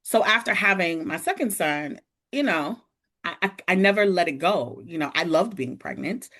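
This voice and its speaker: A woman in her forties shares a personal story on a podcast in a relaxed, chatty way. Her voice sits a little low, yet her pitch swoops widely as she gets animated and slips into the voices of the people she quotes. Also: moderate pace. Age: 40s. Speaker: podcast guest. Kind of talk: casual storytelling chat.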